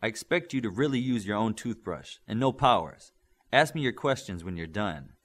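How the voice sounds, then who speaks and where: A man voicing a commanding adult male superhero team leader who talks firmly, briskly and with authority. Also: lowish voice, animated, a bit bright.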